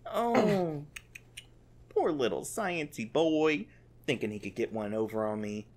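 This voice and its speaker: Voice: medium pitch, animated, slow pace, a little mellow. An actor playing a gleeful sadistic monster captor in an ASMR audio drama, theatrical and taunting with cloying affection. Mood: gleefully menacing. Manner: theatrical, taunting, sing-song pet names.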